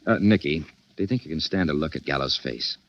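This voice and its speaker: A man playing a calm, methodical gentleman detective in a 1940s radio mystery, reasoning aloud in a measured way. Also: extremely low pitch. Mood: assured. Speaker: radio actor. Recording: dull, noisy 1940s radio recording.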